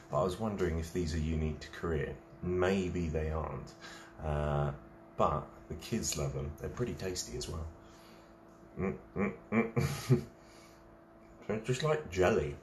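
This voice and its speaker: A man's voice: low-pitched, darkish tone, echoey room, a little hiss or hum. A man, hosting a casual late-night live stream, reading out viewers' comments and chatting while he eats snacks. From England.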